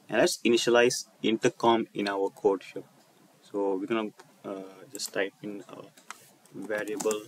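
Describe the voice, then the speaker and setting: A man presenting an unscripted coding walkthrough over a screen recording, speaking haltingly and often repeating and rephrasing his phrases. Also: lowish voice, a little hiss or hum. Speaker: tutorial presenter.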